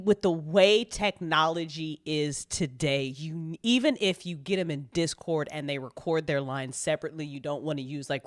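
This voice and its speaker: A woman in a casual panel chat, speaking as a director in an emphatic, gushing, profanity-laced way. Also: low voice. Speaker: audio drama director.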